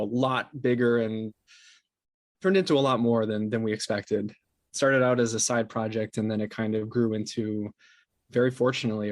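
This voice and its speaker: A man answering interview questions conversationally as a guest, explaining things with repeated words and trailing pauses. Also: medium pitch, no echo. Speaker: interview guest, podcast co-founder and sound designer.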